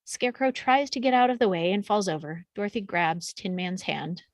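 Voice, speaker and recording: A woman's voice: mid-range voice, lively intonation, a little fast, no room sound. Dry-sounding woman reading stage directions. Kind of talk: scripted narration at a table read.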